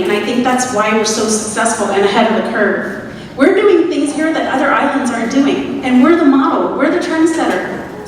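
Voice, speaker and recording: A woman's voice: fairly high pitch, background noise. Woman, Southern accent, earnest community speech, long hall reverb, distant mic. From Atlanta, US South. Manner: earnest, encouraging, leads the crowd in repeating phrases. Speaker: community speaker at a suicide prevention event. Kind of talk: public speech to an audience. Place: large echoey hall, live event. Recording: echoey hall recorded from far off.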